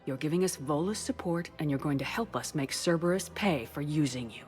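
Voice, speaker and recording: A woman playing a steady, firm military commander in a video game, direct and controlled. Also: low-pitched, a little fast. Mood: persuasive. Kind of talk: cinematic video game acting. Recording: game music underneath.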